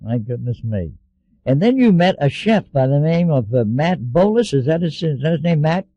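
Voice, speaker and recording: A man's voice: fairly high pitch, big pitch swings, moderate pace, dry recording, highs cut off. A man hosting a radio interview, chatty and repeating words as he starts his sentences. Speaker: radio host.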